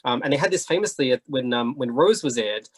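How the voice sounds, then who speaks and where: Guy on a panel chatting away really quick, animated, bit husky. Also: mid-range voice. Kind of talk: casual panel discussion.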